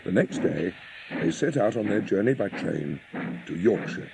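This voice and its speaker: Low, creaky, mellow male storyteller. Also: lively intonation, normal speed, noisy background. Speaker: audiobook narrator. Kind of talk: scripted dramatic storytelling narration. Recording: music behind the narration.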